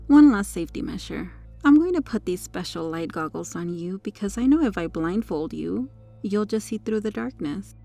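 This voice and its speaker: Woman doing a yandere superhero lady, soft asmr roleplay, creaky mellow voice, coy n smug, music behind. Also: fairly low pitch, heavily acted. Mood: sweetly controlling. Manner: coy, smug, coaxing, lingering over words. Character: sweetly menacing, possessive yandere superheroine kidnapper.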